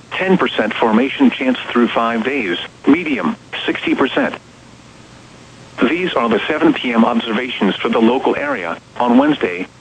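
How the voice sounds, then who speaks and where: Over a muffled radio signal with faint noise comes a synthetic American man's voice at a middling pitch. He works as an automated weather announcer, reading scripted bulletins in short, formal segments. The delivery is measured and impersonal. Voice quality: synthetic text-to-speech voice. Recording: dull, noisy weather radio broadcast.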